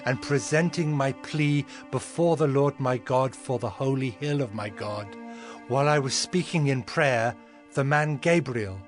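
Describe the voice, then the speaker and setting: A man's voice: mid-range voice, close to an everyday voice. A man playing a humble, devout male prophet, solemn and fervent, in a dramatized scripture recording. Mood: solemn, reverent. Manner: solemn, fervent, measured delivery. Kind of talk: dramatized scripture audio acting. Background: background music.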